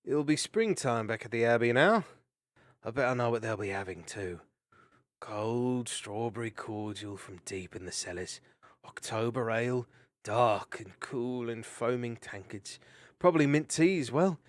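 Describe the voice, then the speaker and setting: A man's voice: medium pitch, animated, a little slow, natural voice. A man voicing a teasing, easygoing young male mouse warrior. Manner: teasing and jovial.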